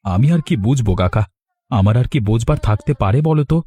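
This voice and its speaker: A man's voice: mid-range voice, expressive, fast talker, mellow, no room sound, lightly acted. A man playing a poor, hardworking young farmer in a Bengali cartoon, humble, plain-spoken and resigned.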